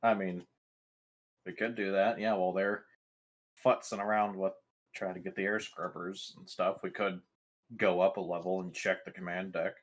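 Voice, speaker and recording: A man's voice: low-pitched, level tone, moderate pace. A man playing a tabletop game, bantering casually in a sweary, joking way.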